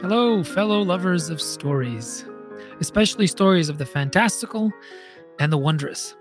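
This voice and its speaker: A man's voice: high-pitched, expressive, moderate pace, music in the background. A man hosting a podcast, delivering a prepared monologue with conversational asides and speaking expressively, like a storyteller, straight to listeners. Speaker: podcast host and fantasy author.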